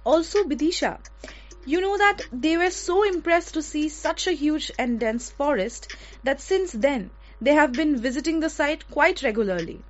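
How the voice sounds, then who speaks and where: A woman's voice: high-pitched, lively intonation, normal speed, soft background music. A woman with an Indian accent presenting a radio show in a conversational style. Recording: dull lo-fi audio.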